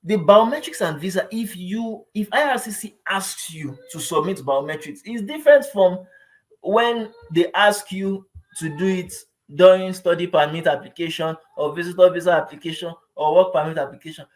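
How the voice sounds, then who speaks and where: A man's voice: high voice, moderate pace, low-quality recording. A man with a Nigerian accent giving informal explanatory advice like a vlogger, conversational and emphatic, often repeating phrases and checking that the listener follows.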